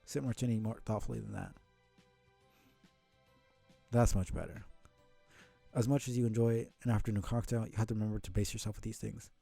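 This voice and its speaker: A man streaming a casual read-aloud of a comic, with halting, muttered asides. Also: fairly low pitch, steady tone, gravelly, warm tone, slightly rough, dry recording, soft background music. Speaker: streamer reading a webcomic aloud.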